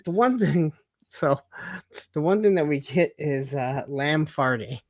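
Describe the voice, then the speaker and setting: A man's voice: on the high side, lively intonation, unhurried, dry recording, studio quality. A man co-hosting a TV recap in casual chat, picking at the story with rhetorical questions. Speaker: co-host of a TV recap show.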